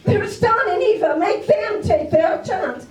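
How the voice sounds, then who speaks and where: Woman acting a very high, shrill protesting housewife. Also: expressive, unhurried, a little mellow. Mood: indignant, protesting. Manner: insistent, repetitive pleading. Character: desperate protesting housewife and mother, shrill and indignant. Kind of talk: amateur stage readers theater acting. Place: library room, staged performance. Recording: roomy, distant mic in hall.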